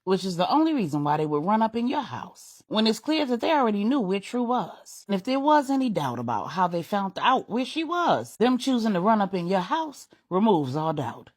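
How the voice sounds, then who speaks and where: A woman's voice: medium pitch, sing-song, normal speed, hardly any pauses, dry recording, studio quality. A woman voicing a caring, capable female crime family boss in a story, direct and sympathetic. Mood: explanatory, firm. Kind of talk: audio storytelling dialogue.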